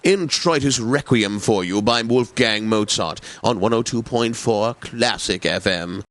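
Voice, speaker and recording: An actor playing a pompous, effete classical radio DJ in a video game, announcing in a theatrical, unctuous, precisely enunciated manner. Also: male voice, lowish voice, measured pace, a bit bright, dry recording. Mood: smooth, pompous.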